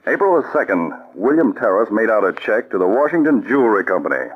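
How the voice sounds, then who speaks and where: An actor playing a calm, methodical male counterspy chief who gives crisp orders, in 1940s radio acting. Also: fairly low pitch, fast talker. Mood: steady, commanding. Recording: muffled old radio recording.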